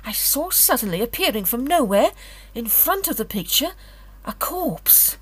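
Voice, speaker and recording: An intense young Edwardian clairvoyant played by a woman speaks formally and dramatically. Her voice is fairly high and husky, swinging widely in pitch, as in a ghostly audio drama. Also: big pitch swings, a little slow, exaggerated voice, poor audio. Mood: haunted, shaken. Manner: formal, dramatic, hesitant when recalling.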